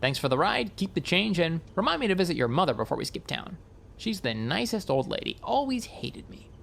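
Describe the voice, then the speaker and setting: A man's voice: sits high, sing-song, fairly quick, vocal fry, a bit bright, slight background noise, pitched above the performer's own voice, heavily acted. A man voicing a breezy, cocky young male traveler with a glib, playful, teasing manner in an audiobook.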